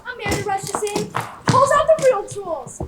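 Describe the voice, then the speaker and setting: Teen girl as a very high-pitched stage narrator. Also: expressive, moderate pace. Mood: brisk. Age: teenager. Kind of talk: theatrical stage acting. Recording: echoey, distant, very noisy stage recording.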